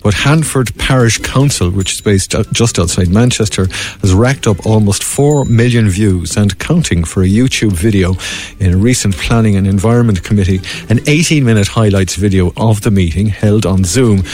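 A man's voice: lowish voice, normal speed, a little mellow. A man with an Irish accent co-presenting a radio show, reading out newspaper headlines and stories in a conversational way.

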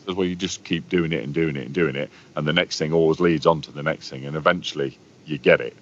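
A man with a deep, boomy voice rambles through stories as a guest in a casual conversation. His pitch sits very low. The sound is slightly muffled and lo-fi. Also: fairly even intonation, quick pace. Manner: talkative, storytelling. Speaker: guest, bass player. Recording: muffled lo-fi audio.

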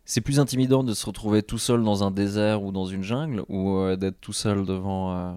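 A man with a fairly low voice conducts an interview, putting his questions politely and with some hesitation. The recording is dry and close, with no room echo. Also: steady tone, normal speed, warm tone, smooth. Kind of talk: casual interview chat.

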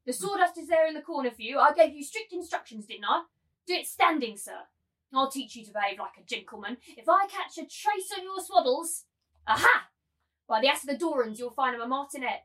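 A woman's voice: high voice, animated, brisk, sharp tone, far from the mic, rough recording. A woman playing a cruel, gloating, domineering madam in a theatrical reading of a novel, taunting and imperious. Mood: stern, imperious. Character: cruel, gloating, domineering brothel madam turned masculine tyrant. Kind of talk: dramatic table reading of a novel.

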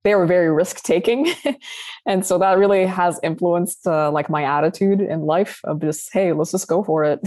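A young adult woman from Sudan, a founder answering interview questions in a casual, animated way, laughing often and restarting sentences. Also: lowish voice, no echo. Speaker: guest founder.

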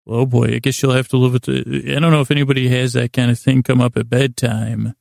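Guy doing a sleepy-time podcast ramble, super gravelly and low-key flat pitch, halting, keeps repeating himself. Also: dry recording, clean studio sound. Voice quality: gravelly, creaky voice. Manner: halting, meandering, self-deprecating, trails off and repeats words. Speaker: podcast host. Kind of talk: rambling bedtime monologue.

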